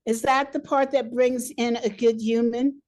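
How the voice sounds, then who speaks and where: A woman's voice: a little high, steady tone, a little slow, a little mellow. Enthusiastic woman podcast host. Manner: enthusiastic, laughs easily. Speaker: podcast host, leadership coach. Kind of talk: conversational interview.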